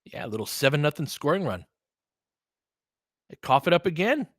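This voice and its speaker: Bright-voiced man calling basketball casually. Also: on the high side, big pitch swings, normal speed, dry recording, studio quality. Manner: informal and opinionated, clipped phrases during play, talking back to listeners. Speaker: host and play-by-play commentator of a basketball livestream. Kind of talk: casual fan play-by-play commentary mixed with chat.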